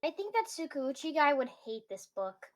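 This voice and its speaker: A woman's voice: sits high, sing-song, moderate pace, no echo, studio quality. A woman bantering casually as a player in a tabletop fantasy game. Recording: clearly noisy mic with clicks.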